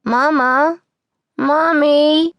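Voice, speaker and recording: A woman's voice: high voice, steady tone, drawn-out words, a bit bright, no echo, voice pushed up, heavily acted. A woman voicing a frightened six-year-old orphan girl in an audiobook, childlike and plaintive, pleading for her mother. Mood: crying out, distraught.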